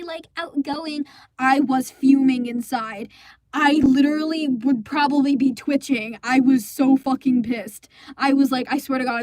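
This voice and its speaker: High-voiced young woman on a sweary rant. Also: no echo. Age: young adult. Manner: animated, sweary, exasperated, quoting others as she goes. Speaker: storyteller and amateur stage performer. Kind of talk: casual storytime rant. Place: small indoor recording space with acoustic foam.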